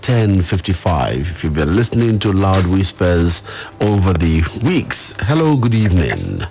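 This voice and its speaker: A man's voice: low-pitched, big pitch swings, measured pace, gravelly, noisy background. A man with a Nigerian accent hosting a live radio phone-in from a studio. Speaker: radio host.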